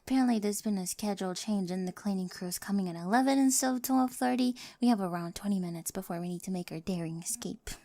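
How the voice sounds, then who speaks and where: A woman playing a quirky, nerdy high school girl with a crush, in an ASMR roleplay with awkward, eager rambling and whispery asides. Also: fast, vocal fry, few pauses, dark tone, no echo, close to an everyday voice. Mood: nerdy, confiding. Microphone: close microphone, dry.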